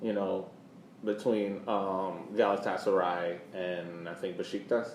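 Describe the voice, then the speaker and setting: A man co-hosting a casual sports podcast, explaining things in a halting way, often repeating words as he goes. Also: deep voice, flat delivery. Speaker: podcast co-host. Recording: roomy echo, clear background noise.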